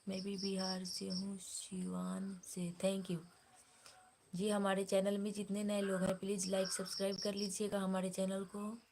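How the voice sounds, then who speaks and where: A woman hosts a casual live stream, greeting viewers one after another and asking them to comment. Her voice stays at a middle pitch with little rise and fall. A faint hiss and insect chirps sit behind her. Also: completely flat delivery, unhurried, gravelly, a little mellow. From Bihar, India.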